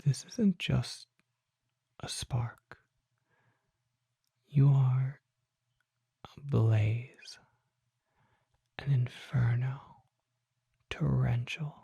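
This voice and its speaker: A performer voicing a refined, mysterious vampire shopkeeper in a soft, breathy ASMR whisper, speaking formally with deliberate pauses. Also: mid-range voice, fairly even intonation, dry recording, exaggerated voice. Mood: reverent excitement. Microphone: close microphone.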